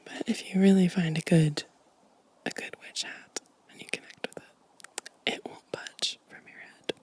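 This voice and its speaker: A woman giving a gentle, unhurried ASMR show and tell in a soft, mostly whispered, breathy voice, close to the microphone. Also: fairly low pitch, steady tone. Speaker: ASMR video host.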